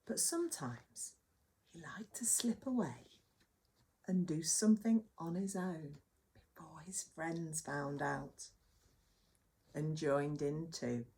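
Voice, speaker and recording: A woman reading a children's story aloud as a nursery teacher, with dramatic emphasis and hushed moments. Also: sits low, slow, pauses often.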